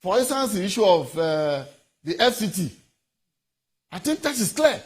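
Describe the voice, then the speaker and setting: High-pitched, husky Nigerian man giving legal commentary. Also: sing-song. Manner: deliberate, emphatic, halting with repeated words. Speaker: legal analyst, guest. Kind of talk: formal legal commentary in a TV interview.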